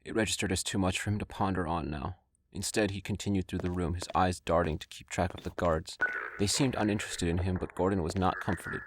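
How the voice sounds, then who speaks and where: A man's voice: low-pitched, fairly even intonation, a little fast. A man reading scripted narration for an audio drama. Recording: clearly noisy recording with clicks.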